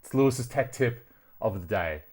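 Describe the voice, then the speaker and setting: A man's voice: medium pitch, expressive, normal speed, a little mellow, husky. An Australian man chatting casually to viewers as a video host, telling stories in a conversational, sweary way. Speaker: retro tech video host. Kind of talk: casual storytelling to camera.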